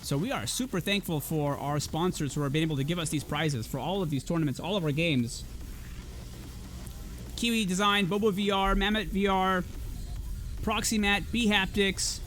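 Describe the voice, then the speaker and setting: A hoarse man in his thirties casting an esports league livestream, chatting casually with viewers while filling time. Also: high voice, fairly bright tone. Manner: casual, chatty, addresses chat.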